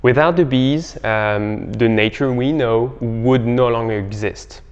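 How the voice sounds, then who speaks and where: A young man giving halting, explanatory answers as an interview guest. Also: mid-range voice, clear, resonant tone. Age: young adult. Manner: halting, hesitant phrasing. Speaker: startup co-founder, interview guest. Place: bright indoor living room. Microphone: clip-on lapel mic. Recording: muffled, reverberant room.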